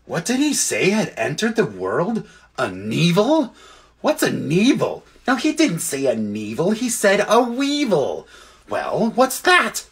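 A man's voice: sits high, wildly swooping pitch, slow pace, pitched above the performer's own voice, full character voice. A man voicing newly talking storybook beasts, innocent and eager creatures who speak in naive short phrases. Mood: puzzled.

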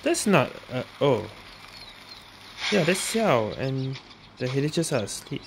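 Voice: medium pitch, very animated, a little slow, gravelly, noisy background. A streamer giving casual commentary while playing a video game. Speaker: gameplay streamer. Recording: voice over game music.

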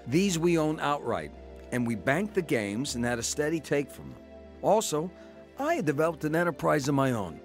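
A man's voice: fairly high pitch, very animated, moderate pace, faint music behind. An actor playing a grown son who narrates his crooked youth and war years in a scripted radio drama, plain-spoken and matter-of-fact. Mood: reflective.

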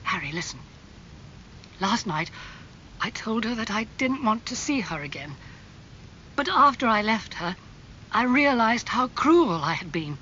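Man acting an anguished young gentleman, highly animated. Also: normal speed, dull audio, a little hiss or hum, full character voice. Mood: anguished, pleading. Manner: emotional, pleading. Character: anguished young gentleman, impulsive, remorseful, earnest.

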